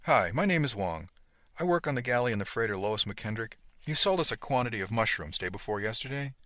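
A man's voice: medium pitch, animated, fairly quick, a bit bright, no room sound, clean studio sound, close to an everyday voice. A man voicing a courteous, earnest young male galley worker from a freighter in a story. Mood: polite, eager.

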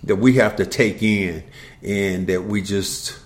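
A man's voice: fairly low pitch, slow. An older man, a podcast co-host, telling childhood memories in casual conversation and acting out the people he quotes. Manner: storytelling, animated when quoting others.

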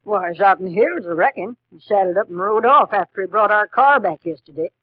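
A dry, muffled 1950s radio recording carries a country storekeeper's wife. The voice is high and plaintive, with a rural Western American accent, rambling on in a garrulous, complaining way. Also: male voice, lively intonation, brisk, no room sound. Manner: chatty, complaining. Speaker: supporting actor.

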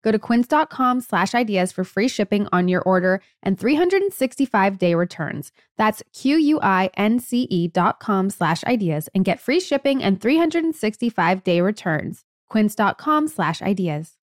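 A woman's voice: medium pitch, sing-song, normal speed, few pauses, no echo. Lady podcast host reading a sponsor ad, casual, really dry close sound. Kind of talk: casual scripted ad read.

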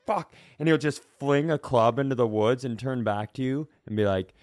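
A man's voice: medium pitch, moderate pace, darkish tone, dry recording, studio quality. An American man co-hosting a comedy podcast, chatting and telling stories in a casually profane, wry way. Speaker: podcast co-host. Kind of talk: casual comedic chat and storytelling.

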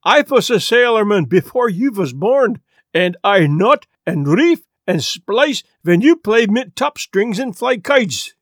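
A man's voice: high voice, big pitch swings, slow, no echo, clean studio sound, heavily acted. A man voicing a boastful, touchy old Swedish sailor with a thick Swedish accent. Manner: thick put-on accent, blustering.

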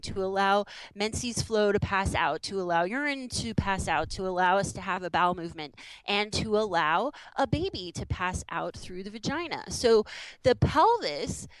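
A woman guest on a podcast, a movement specialist who explains things in a calm, measured way. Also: medium pitch, no room sound, poor audio. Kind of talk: explanatory interview answers.

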